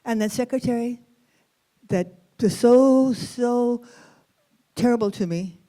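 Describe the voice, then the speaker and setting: Warm-voiced East Los Angeles woman storyteller. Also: on the high side, rough recording. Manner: expressive, dramatic pauses, sprinkles Spanish words then translates them. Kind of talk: performed personal storytelling.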